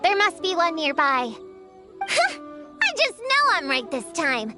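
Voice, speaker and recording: A woman's voice: extremely high pitch, wildly swooping pitch, slow, music in the background, full character voice. A woman voicing a spirited young witch-hunter girl in a video game, proud, insistent and earnest, with a self-important, defensive way of talking. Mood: confident, know-it-all. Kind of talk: animated video game acting.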